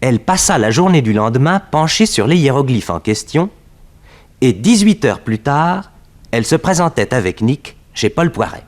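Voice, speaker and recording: An actor narrating a 1960s detective radio serial in a polished, scripted, dramatic storytelling style. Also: male voice, medium pitch, very animated, normal speed, lightly acted.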